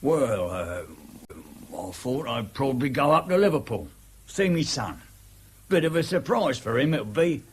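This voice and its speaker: An actor playing a wily, ingratiating ex-convict of fifty-five in a radio drama, with a husky voice and a chummy, deferential manner. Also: male voice, mid-range voice, big pitch swings, unhurried. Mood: chummy, rueful. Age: 50s. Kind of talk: naturalistic radio drama acting.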